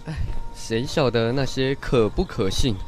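An actor playing an anxious, doubting older village man in a radio drama, with expressive sighs and exclamations, as in a biblical tale. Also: mid-range voice, unhurried, faint music behind, lightly acted. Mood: troubled, doubtful.

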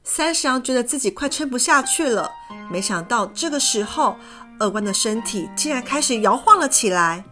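A woman narrating a children's story in a gentle, expressive manner. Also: a little high, normal speed. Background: background music.

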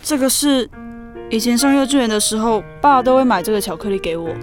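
A woman's voice: on the high side, brisk, soft background music, lightly acted. A young woman playing a sensitive, self-doubting younger sister in an audio drama, plaintive and confiding. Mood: hurt, guilty. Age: young adult.

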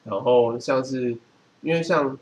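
With noticeable noise around him, a man with a Taiwanese Mandarin accent talks in a medium-pitched voice. He explains things casually and matter-of-factly, like a co-host on a chatty podcast. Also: a little slow. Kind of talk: casual chat. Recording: clearly noisy background.

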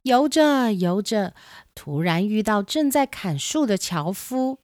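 A woman's voice: mid-range voice, big pitch swings, slow pace, darkish tone, dry recording, studio quality. A woman reading scripted narration for a children's storybook tale. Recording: music behind the voice.

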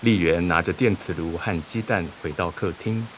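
Male radio drama narrator, very low voice, scripted scene-setting, faint hiss behind. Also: moderate pace.

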